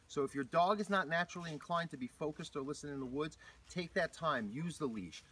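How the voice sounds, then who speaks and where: American dog trainer talking on a trail. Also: male voice, on the high side, a little fast, a bit bright, slight background noise. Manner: explanatory, calls commands to his dog. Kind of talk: outdoor training demonstration. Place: outdoor hiking trail.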